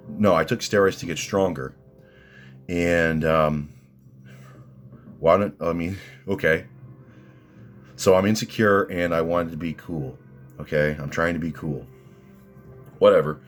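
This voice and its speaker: A man with a deep voice, ranting at his critics in rambling, combative commentary full of restarts and fillers. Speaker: vlogger answering critics.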